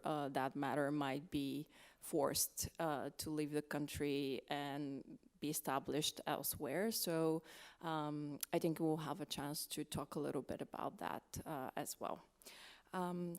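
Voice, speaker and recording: Panel moderator, woman from Bulgaria: low, creaky voice, halting and unhurried, addressing a live audience. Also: a little mellow. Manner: hesitant, halting delivery with many ums.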